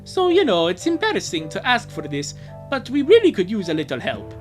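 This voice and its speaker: A woman's voice: medium pitch, huge pitch swings, a little fast, soft background music, voice pushed up. A woman voicing a cheerful young boy runaway who earnestly pleads for help. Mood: pleading.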